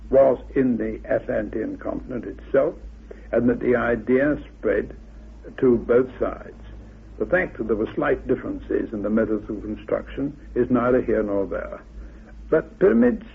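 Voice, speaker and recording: Gravelly, raspy man on narrow-band radio. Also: medium pitch. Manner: emphatic, assertive. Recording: narrow phone-like old radio recording.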